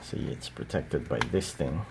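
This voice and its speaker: A man giving a casual, unscripted show-and-tell in a hesitant, halting way. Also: rumbling low, creaky. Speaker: collector showing cassette tapes. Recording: audible steady background noise.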